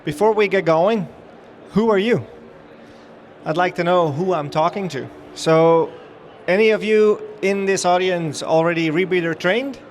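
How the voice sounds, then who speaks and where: A man's voice: high voice, a little slow, slight background noise. A middle-aged man from the Netherlands with a Dutch accent, giving a chatty, upbeat talk to a live audience on a trade-show floor, with many fillers and restarts.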